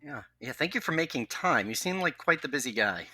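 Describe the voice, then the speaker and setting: A man co-hosting a podcast, moving from a formal read introduction to friendly chat. His voice is very bright and a little husky. The recording is close and dry. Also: on the high side, animated, a little fast, no echo. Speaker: podcast co-host. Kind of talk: reading a formal guest introduction, then casual chat.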